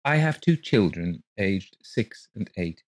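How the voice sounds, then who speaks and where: A man's voice: low voice, big pitch swings, takes it slowly, dry recording, exaggerated voice. A man voicing a polite, hesitant young mother who is letting out rooms. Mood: flustered.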